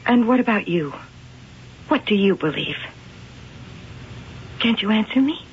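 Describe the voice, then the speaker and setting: A woman acting in a radio drama as an intense, imperious and mysterious figure who claims to be a cat goddess, speaking solemnly and deliberately. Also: medium pitch, expressive, slight background noise, natural voice. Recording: dull lo-fi 1980 radio broadcast.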